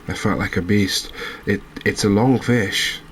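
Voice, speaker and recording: A man giving quiet, unhurried ASMR-style commentary as he plays a video game, musing aloud with hesitant pauses. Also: fairly low pitch, a little hiss or hum. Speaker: host of a gaming channel playing a fishing game.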